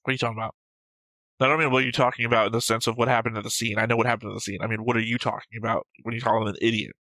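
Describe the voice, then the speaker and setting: A man's voice: medium pitch, little pitch movement, very fast, pushed and bright, no echo, low-quality recording. A man co-hosting an anime show in casual, opinionated discussion, argumentative and emphatic, swearing freely. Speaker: co-host of an anime reaction show.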